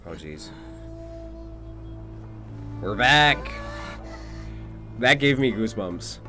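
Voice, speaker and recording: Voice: mid-range voice, big pitch swings, extremely slow, pushed and bright, soft background music. A game streamer giving casual live commentary in a laid-back way, with muttered asides and swearing.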